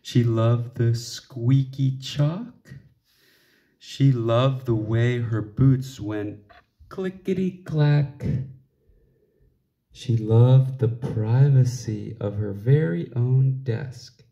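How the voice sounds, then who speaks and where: A man reading a children's picture book aloud in an expressive storytelling style, with deliberate phrasing. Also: mid-range voice, gravelly, lo-fi sound. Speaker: storybook reader.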